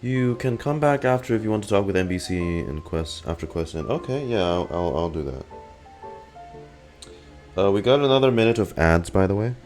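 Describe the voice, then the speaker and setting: A man's voice: lowish voice, lively intonation, moderate pace, a little mellow, faint music behind. A man giving casual live reaction commentary as a game streamer.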